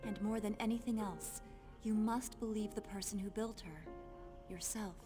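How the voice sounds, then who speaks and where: Actress playing young woman, sci-fi game dub, composed, earnest, medium-pitched voice. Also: moderate pace, music in the background, close to an everyday voice. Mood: earnest, reassuring. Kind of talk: English video game dub acting.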